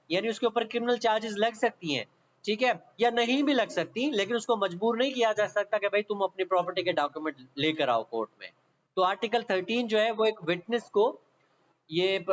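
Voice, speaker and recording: A man's voice: high voice, a bit bright. A man with a Pakistani accent giving an instructional exam-prep law lecture, mixing in English legal terms and often checking that listeners follow. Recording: dull, lo-fi sound.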